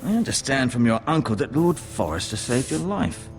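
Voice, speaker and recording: An actor playing a grave, opinionated middle-aged castle maester in a fantasy video game, speaking in a measured, musing, formal way. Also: male voice, mid-range voice, big pitch swings, faint music behind. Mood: musing, probing.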